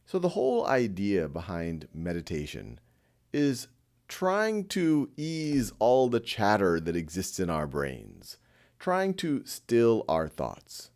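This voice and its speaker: Male meditation guide: gentle and reassuring, unhurried delivery with a lively, moving pitch.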